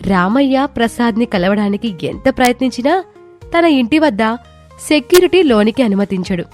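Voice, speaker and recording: A woman's voice: a little high, animated, normal speed, darkish tone, soft background music. A woman storyteller reading a scripted moral tale as narration.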